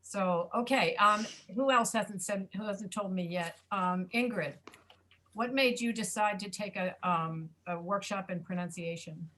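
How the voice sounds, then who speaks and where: A woman's voice: medium pitch, measured pace, fairly bright tone. A woman teaching a pronunciation class, chatty and friendly with the students. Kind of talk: casual online class.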